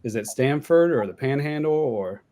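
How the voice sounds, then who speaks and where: A man from Ohio hosts a podcast and asks his questions in a halting way, searching for his words. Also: mid-range voice, lively intonation, dark tone. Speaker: podcast host. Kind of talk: interview questions.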